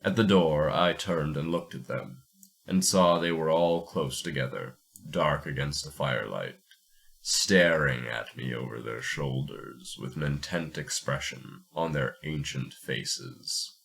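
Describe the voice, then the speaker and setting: Really low voiced guy, podcast host doing story reading and commentary. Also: a little slow, vocal fry, fairly bright tone. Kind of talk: dramatic story narration and casual commentary.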